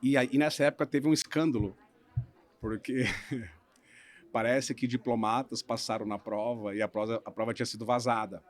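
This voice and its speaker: A man's voice: mid-range voice, moderate pace. Brazilian man telling his story casually. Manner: relaxed storytelling, hedging with frequent "né?". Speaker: guest lawyer. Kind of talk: casual podcast interview.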